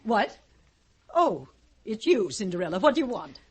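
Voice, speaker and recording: A woman's voice: medium pitch, very animated, moderate pace, husky. An actress playing a haughty, cruel middle-aged stepmother in a fairy-tale radio drama of the 1950s, imperious and scornful.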